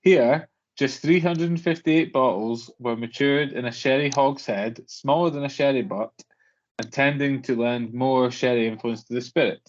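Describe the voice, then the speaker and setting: A man co-hosts a casual podcast, slipping dry jokes into the conversation. His voice is mid-pitched and unremarkable in tone. The sound is a little dull and lo-fi. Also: normal speed. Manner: dry, wry quips. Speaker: podcast co-host. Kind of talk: casual chat.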